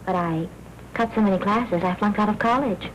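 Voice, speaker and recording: An actress playing an eager young would-be reporter in a 1940s film, earnest and coaxing. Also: female voice, medium pitch, moderate pace, natural voice. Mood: pleading, confiding. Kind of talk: 1940s film noir acting. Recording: noisy 1940s film soundtrack.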